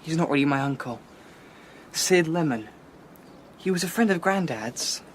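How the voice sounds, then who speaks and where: A man's voice: a little high, lively intonation, moderate pace, slight background noise, lightly acted. A male actor playing a defensive, questioning schoolboy in a radio drama. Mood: guarded, anxious. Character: defensive, questioning English schoolboy, worried about his father.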